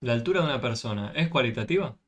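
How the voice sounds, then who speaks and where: A man's voice: medium pitch, animated, fast talker, fairly bright tone. A man tutoring a math class over a video call, patiently explaining and often checking with questions.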